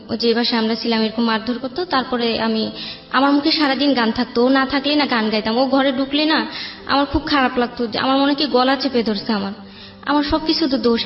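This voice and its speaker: A Bangladeshi woman recounts her own life as a guest in an interview. Her voice sits a little high and she speaks colloquially. The recording is echoey and dull, with music under it. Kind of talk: personal life storytelling, conversational. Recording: echoey room, dull sound, faint music.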